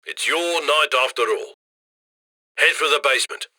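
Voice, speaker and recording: Video game criminal handler: upbeat, cocky male, high-set and forceful, very dry close sound, slick conversational mission directions. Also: moderate pace, dry recording. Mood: playful, inviting, then brisk, instructing. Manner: slick, conversational, egging the crew on.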